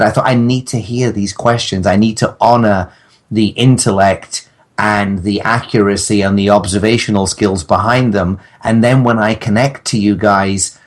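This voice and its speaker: A man with a fairly low voice. He talks in casual comic banter, wordy and mock-grandiose, as a guest on a podcast. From England. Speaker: podcast guest, audio drama maker.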